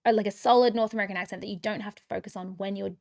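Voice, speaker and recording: An Australian woman, an actress, talks through her career in a relaxed interview. Her voice sits mid-range, and she restarts her phrases and leans on filler words as she thinks aloud. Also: medium pitch, racing, creaky. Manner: chatty, many restarts and fillers. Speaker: actress guest. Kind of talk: casual interview.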